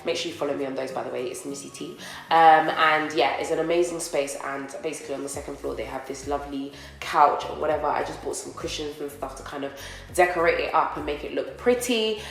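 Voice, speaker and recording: A woman's voice: low-pitched, brisk, creaky, roomy sound, low-quality recording. Chatty young woman, a bit nasal. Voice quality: slightly nasal from a recent cold. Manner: rambling and chatty, talks to viewers as friends. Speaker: beauty vlogger. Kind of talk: casual chatty vlog. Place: co-working office space, indoors.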